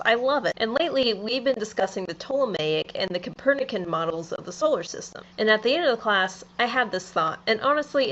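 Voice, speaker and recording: A woman's voice: mid-range voice, fairly bright tone. A young woman about to turn twenty, musing in a casual, sleepy vlog monologue. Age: young adult. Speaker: vlogger. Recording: slightly dull home video audio.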